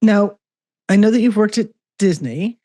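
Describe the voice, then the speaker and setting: A woman's voice: mid-range voice, normal speed, mellow. A woman hosting a conversational interview, affirming and reflective, building her thoughts into questions. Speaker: interview host.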